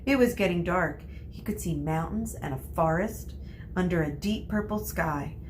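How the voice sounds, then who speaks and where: A woman teacher reading a children's novel aloud in a deliberate, explanatory way. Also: lowish voice, a little hiss or hum, poor audio. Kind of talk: storybook read-aloud.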